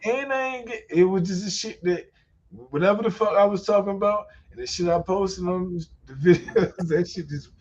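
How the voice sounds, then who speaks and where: A man's voice: high voice, normal speed. Slangy man, muffled call audio. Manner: slangy, loose, swears a lot, laughs often. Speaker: host. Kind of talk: casual online voice chat. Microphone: online call mic.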